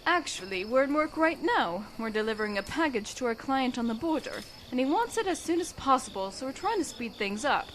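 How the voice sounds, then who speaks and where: A woman playing an old elven mercenary leader in an audio drama, brisk, wry and folksy in a matter-of-fact way. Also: high-pitched, sing-song, a bit bright. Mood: calm, wry. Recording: clear background noise, crickets.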